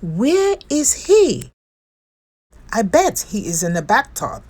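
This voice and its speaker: A woman's voice: on the high side, wildly swooping pitch, takes it slowly, a little mellow, full character voice. A woman voicing a friendly, playful female duck babysitter from a picture book in a cheerful singsong. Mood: puzzled, amused. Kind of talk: picture-book character reading.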